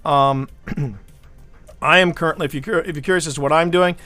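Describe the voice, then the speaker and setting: A man's voice: on the high side, a little fast, bright, forceful tone, no room sound, faint music behind. A man from the United States hosting a livestream, rambling through stories and often repeating his words as he chats. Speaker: livestream host. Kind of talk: casual chat.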